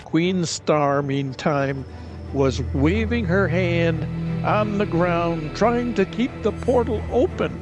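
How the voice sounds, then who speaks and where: A man's voice: high voice, slow pace. A man narrating a scripted fantasy adventure in a dramatic storytelling style. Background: cinematic music.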